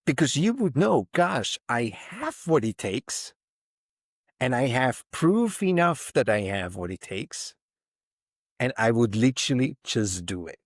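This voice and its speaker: A man's voice: medium pitch, very animated, measured pace, dry recording, studio quality. A man in his fifties, a podcast guest speaking reflectively and emphatically, often voicing inner thoughts as quotes. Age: 50s. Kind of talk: reflective interview talk.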